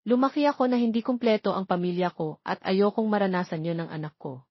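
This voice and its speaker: A woman's voice: mid-range voice, quick pace, dry recording, highs cut off, close to an everyday voice. A Filipino woman voicing a polite, earnest, caring young man in a romance audiobook.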